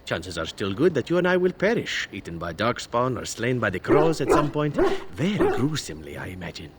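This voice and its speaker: A man's voice: medium pitch, sing-song, brisk, a little hiss or hum, natural voice. A man playing a swooping, flippant elf rogue. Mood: breezy. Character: suave male elf rogue, flippant and fatalistic. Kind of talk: video game character dialogue.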